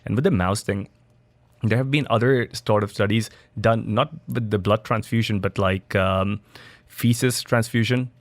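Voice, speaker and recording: A man's voice: fairly low pitch, a little slow, no room sound. A man from Dubai, a studio guest, answering interview questions in a loose, conversational way with restarts and fillers. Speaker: studio guest, biohacking entrepreneur.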